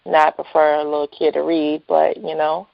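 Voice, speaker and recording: Gravelly woman on a muffled phone. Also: sits low, flat delivery, normal speed, no echo. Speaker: interview guest, writer and entrepreneur. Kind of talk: casual phone interview chat. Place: phone call. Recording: dull lo-fi phone line.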